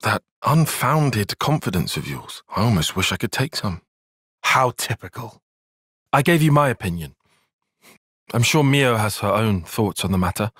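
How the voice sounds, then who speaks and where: An actor playing an earnest, cerebral young soldier and tactician in a video game, with a British accent, articulate formal phrasing and a slightly husky voice. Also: male voice, lowish voice, big pitch swings, moderate pace, fairly bright tone, no room sound, clean studio sound, full character voice. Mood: dry, exasperated. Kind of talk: scripted video game cutscene acting.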